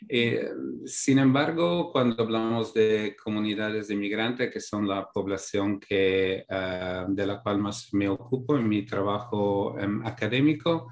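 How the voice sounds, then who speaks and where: A middle-aged European man with a fairly low voice speaks as an academic guest. He explains things slowly, pausing on fillers and restarting his phrases as he works toward each point. He speaks in a language that is not his first. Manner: measured, thoughtful, many fillers and restarts. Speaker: academic guest, migration researcher. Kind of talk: interview answers, explanatory.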